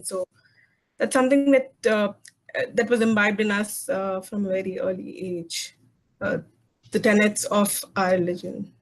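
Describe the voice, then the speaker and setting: A woman's voice: medium pitch, low-quality recording. Hesitant woman. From South India. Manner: hesitant, many uhs. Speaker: panel guest. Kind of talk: casual panel talk.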